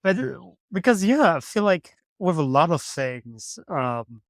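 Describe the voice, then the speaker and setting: A man hosting a podcast, talking in an easygoing, halting way with many pauses and "um"s. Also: a little high, sing-song, no room sound, clean studio sound. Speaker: podcast host. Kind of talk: casual interview chat. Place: hostel room.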